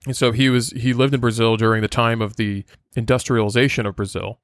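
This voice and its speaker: Man, Portuguese first language, mid-pitched, earnest lecturing podcast host, dry close-mic sound. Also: level tone, brisk, dry recording.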